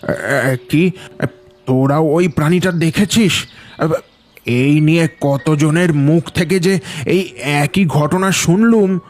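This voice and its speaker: Cartoon village headman, male actor: slow, hesitant, fatherly authority, slightly high pitch, over music. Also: a little mellow. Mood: surprised. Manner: hesitant, drawn-out, repeats words. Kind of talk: Bengali animated ghost story acting.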